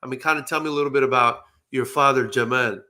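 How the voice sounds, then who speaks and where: A man's voice: mid-range voice, steady tone, fast talker, sharp tone. A man hosting an interview, enthusiastic and rambling, with many fillers as he asks questions. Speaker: interview host. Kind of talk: casual interview.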